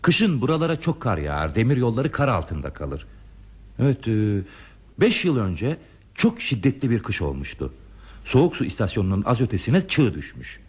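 An actor playing a lonely, modest railway signalman in a radio play, speaking in a measured, wistful, plainspoken way. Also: on the low side, wildly swooping pitch, darkish tone, breathy edge, muffled recording, full character voice. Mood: plainspoken, reminiscing. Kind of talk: Turkish radio theatre acting.

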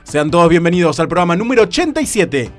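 A young man with a Rioplatense accent hosting a casual radio show, hoarse from a cold and joking around. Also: high-pitched, sing-song, fast, soft background music. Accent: Rioplatense Spanish. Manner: joking, teasing.

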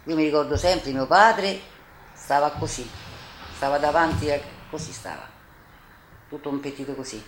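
An older woman with a Roman accent giving halting, repetitive testimony as a witness. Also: low-pitched, reverberant, a little hiss or hum, poor audio. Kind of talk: spontaneous personal testimony.

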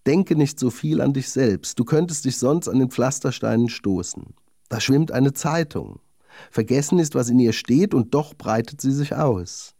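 A man's voice: mid-range voice, expressive, normal speed, mellow, no room sound, clean studio sound. A man voicing a vain, haughty young lady darning needle in a children's fairy tale, proud and genteel in manner. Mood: superior. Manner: proud, self-satisfied, genteel.

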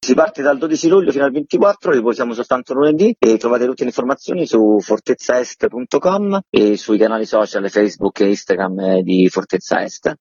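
A man's voice: medium pitch, brisk, few pauses, darkish tone, slightly rough, dry recording. A man speaking earnestly as a guest in an informal radio interview. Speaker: interview guest, theatre co-artistic director. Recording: muffled, dull lo-fi line.

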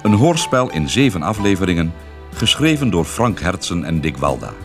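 A man's voice: deep voice, sing-song, moderate pace, music in the background. A man reading a scripted radio drama introduction as announcer.

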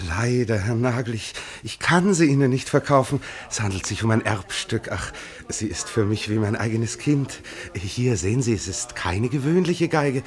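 An actor playing a deferential, effusive town musician in a German radio play, hesitant, polite and fervent. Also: male voice, medium pitch, animated, normal speed, few pauses, natural voice. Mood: apologetic, fond. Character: deferential, effusive male town organist and violinist.

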